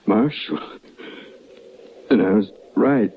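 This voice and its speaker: An actor playing a badly wounded American cowboy, gasping and speaking in weak, broken phrases in an old radio western. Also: male voice, fairly low pitch, slow, husky, exaggerated voice. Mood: weak, pained. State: gravely hurt, gasping, struggling to speak. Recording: muffled, noisy old radio recording.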